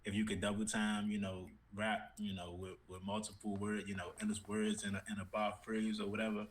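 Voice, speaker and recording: A man with a fairly low voice co-hosts a casual discussion over faint background noise. He is measured, qualifying his points as he explains them at length. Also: level tone. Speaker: podcast co-host.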